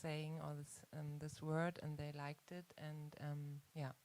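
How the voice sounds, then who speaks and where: A woman artist explaining her music video in a relaxed, casual way, agreeing often. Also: low-pitched, measured pace. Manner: relaxed, agreeable, many yeahs. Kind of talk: casual discussion.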